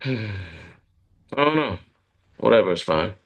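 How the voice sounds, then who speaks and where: Man playing a low, gravelly, hesitant therapy patient. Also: animated, dull audio. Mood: guarded. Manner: hesitant, halting, confessional. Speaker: actor at a script reading. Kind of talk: dramatic script read.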